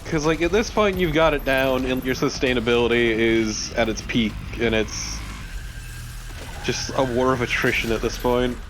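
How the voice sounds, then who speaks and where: A man's voice: medium pitch, normal speed, fairly bright tone, slight background noise. A man giving casual co-commentary on a video game in a conversational way. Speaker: let's play co-commentator.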